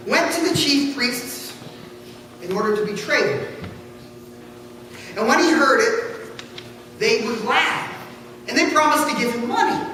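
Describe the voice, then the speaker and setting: A woman reading scripture aloud in church, solemn and deliberate, with dramatic phrasing. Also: fairly high pitch, a bit bright. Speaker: scripture reader. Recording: echoey hall from far off, faint noise.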